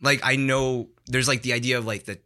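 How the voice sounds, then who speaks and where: A man's voice: medium pitch, little pitch movement, a little fast, pushed and bright. A man chatting informally as a studio podcast guest, thinking aloud as he talks. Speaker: podcast guest, digital content creator. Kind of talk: casual chat.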